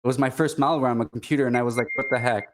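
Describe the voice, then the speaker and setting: A man's voice: mid-range voice, steady tone, background noise. A man from Quebec with a Quebecois French accent, a native French speaker chatting casually as a guest, stopping and restarting often. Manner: halting, many restarts and fillers. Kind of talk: casual chat.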